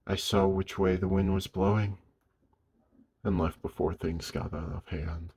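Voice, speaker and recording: A man playing a gruff, lonely old werewolf in an intimate ASMR roleplay, weary but gentle, speaking slowly and hushed with a husky, gravelly voice. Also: sits low, fairly even intonation, slight background noise. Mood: reflective, weary. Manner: slow, hushed, with long pauses.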